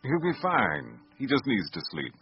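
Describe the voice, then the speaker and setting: An actor playing a reassuring, easygoing family doctor in a radio drama. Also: male voice, on the low side, huge pitch swings, moderate pace. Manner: reassuring, casual. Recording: muffled radio recording.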